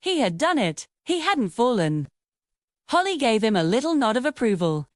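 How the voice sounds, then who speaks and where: A woman's voice: fairly high pitch, sing-song, moderate pace, no echo, studio quality. A woman reading a scripted children's story as its narrator.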